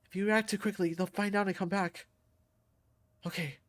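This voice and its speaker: A woman's voice: medium pitch, steady tone, moderate pace. A woman reading a visual novel aloud and voicing every character herself. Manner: shifts into loud outbursts for angry lines.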